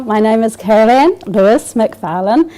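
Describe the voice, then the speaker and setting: A woman's voice: a little high, animated, a little slow, very noisy. A middle-aged woman from Scotland, raised in New Zealand, speaking with a New Zealand accent, giving a hesitant personal testimony with many fillers and restarts. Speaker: interviewee.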